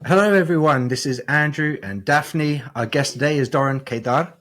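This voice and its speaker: A man hosting a podcast interview, relaxed and a little jokey. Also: on the high side, moderate pace, faint music behind. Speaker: podcast host.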